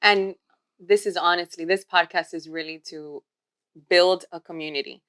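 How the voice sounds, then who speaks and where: A woman in her forties co-hosting a podcast, talking in a warm, steady, explanatory way. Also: on the low side, moderate pace, clean tone. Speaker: podcast co-host, healthcare worker. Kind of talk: casual podcast chat.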